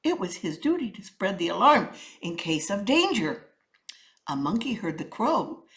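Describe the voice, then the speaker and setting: A woman's voice: medium pitch, sing-song, moderate pace, fairly bright tone. A woman narrating a children's story as a storyteller, with a theatrical, emphatic delivery. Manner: theatrical, emphatic with rhythmic sound words.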